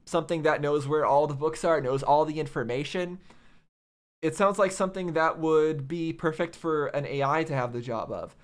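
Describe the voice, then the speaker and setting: A man giving casual off-the-cuff commentary as a reaction host, musing and halting as he thinks aloud. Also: on the high side. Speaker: host of a game reaction video.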